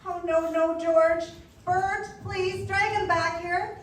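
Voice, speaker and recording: An actress playing a sweet, devoted young bride in a 1940s style live radio play. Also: female voice, very high voice, fairly even intonation, takes it slowly. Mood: pleading. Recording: echoey hall from far off, faint noise.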